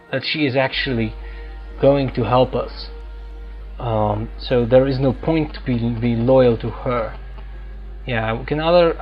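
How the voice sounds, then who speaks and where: Male gaming host, casual and hesitant, slightly muffled lo-fi mic with soft game music behind. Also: medium pitch. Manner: hesitant, thinking aloud. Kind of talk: casual let's-play commentary. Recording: dull lo-fi microphone, game music underneath.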